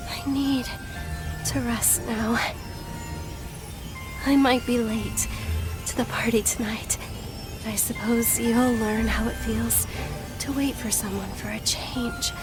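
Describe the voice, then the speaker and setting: An actress playing a gentle, weary monster songstress in a video game, speaking tenderly and haltingly with whispered phrases. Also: female voice, fairly high pitch, steady tone, loud music behind the voice. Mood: weary, wistful. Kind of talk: dramatic video game cutscene acting.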